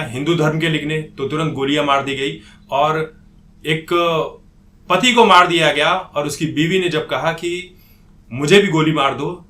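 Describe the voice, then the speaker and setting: A man's voice: on the high side, pushed and bright. A man giving an opinionated political commentary monologue in an indignant, accusatory manner. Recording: echoey room.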